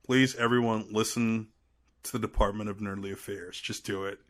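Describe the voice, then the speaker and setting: A man's voice: on the low side, steady tone, normal speed, a crowd in the background. A man from Trinidad chatting casually and playfully as a podcast guest. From Trinidad and Tobago. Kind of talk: casual chat.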